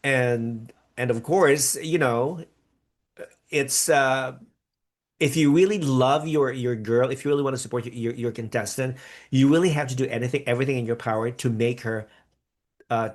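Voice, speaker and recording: A man born in the Philippines who grew up in America, hosting a live reaction stream in a rambling, chatty way with upbeat bursts. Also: mid-range voice. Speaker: podcast host reacting live to a pageant. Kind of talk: live-stream reaction chat reading viewer comments.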